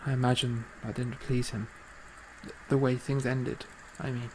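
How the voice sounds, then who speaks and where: An actor playing an earnest, impassioned and self-doubting young composer in a period audio drama, with stammering, effusive, formal old-fashioned speech. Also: male voice, medium pitch, flat delivery, moderate pace, a little hiss or hum, natural voice, rough recording. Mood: flustered.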